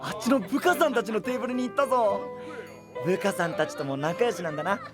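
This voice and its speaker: A man's voice: high-pitched, fast talker, music in the background, lightly acted. A man playing an earnest, kindly young man in an anime voice drama, casual, open and big-brotherly. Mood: curious. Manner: casual, open, gentle with children.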